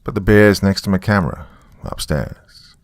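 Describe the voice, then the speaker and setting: Man playing deep, gravelly, weary small-town survivor. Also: normal speed, dry recording, studio quality. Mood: uneasy, resigned. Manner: rambling, wry, colloquial. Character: weary, sardonic small-town man trapped in his basement. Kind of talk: dramatic monologue as a taped confession.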